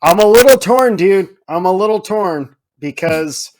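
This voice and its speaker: A man's voice: high-pitched, expressive, measured pace, a little mellow, poor audio. A man co-hosting a podcast in casual chat, informal and exclamatory, often putting himself down. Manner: self-deprecating, informal, exclamatory. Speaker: podcast co-host.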